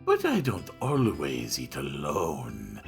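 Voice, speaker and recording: A man voicing an odd, blunt teenage girl in a visual novel, with a breathy, slithery half-whisper and drawn-out deadpan delivery. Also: extremely low pitch, over music, voice pushed down, exaggerated voice. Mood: languid musing.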